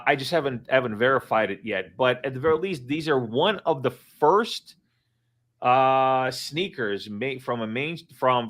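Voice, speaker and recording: Casual male speaker in a home office, rambling, lots of fillers and restarts, mid pitch. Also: fairly bright tone. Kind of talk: casual live-stream chat.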